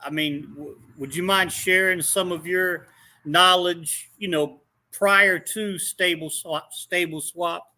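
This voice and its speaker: A man's voice: high-pitched, fairly even intonation, slow pace, sharp tone. A man in his late fifties hosting a casual chat, laid-back and a bit hesitant. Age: 50s. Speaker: host.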